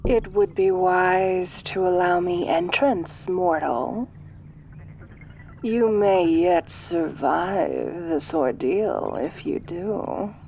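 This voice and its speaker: A woman voicing a sinister, domineering ancient alien in a roleplay, speaking softly with a condescending, seductive manner. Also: fairly low pitch, sing-song, gravelly, lo-fi sound, background noise, full character voice. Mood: haughty, coaxing. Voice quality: soft. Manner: condescending, imperious, slow and teasing. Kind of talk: audio roleplay addressed to the listener.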